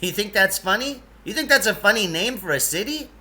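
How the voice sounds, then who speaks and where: A man acts out a fussy, jumpy male guide from another world in a storybook. His voice is pitched up and very bright, swinging widely. He stammers, then turns emphatic and proud. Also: animated, a little fast, exaggerated voice. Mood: proud, indignant.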